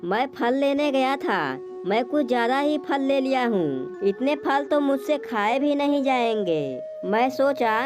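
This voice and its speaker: A sly male crow from a children's cartoon voiced by a woman speaks in a high voice. The bird is greedy and smooth-talking, putting on friendliness. Music plays behind the speech. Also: expressive, a little slow, hardly any pauses. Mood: falsely friendly. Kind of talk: Hindi cartoon moral story acting.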